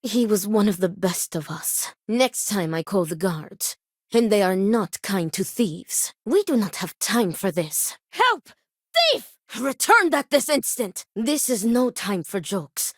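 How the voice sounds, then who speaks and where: A stern, dutiful woman from a video game. She speaks formally and precisely, in clipped phrases, at a medium pitch. The sound is very dry, like a close studio take. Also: big pitch swings, slow, no room sound. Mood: alarmed, angry. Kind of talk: scripted video game character dialogue.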